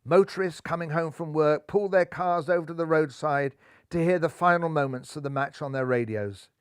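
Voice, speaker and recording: An older man reading a children's picture book aloud, lively, lifting his voice for the characters. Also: normal speed, clean tone, no room sound, studio quality. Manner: lively, raises pitch and volume for characters' lines. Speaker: storybook reader. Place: living room, seated in armchair.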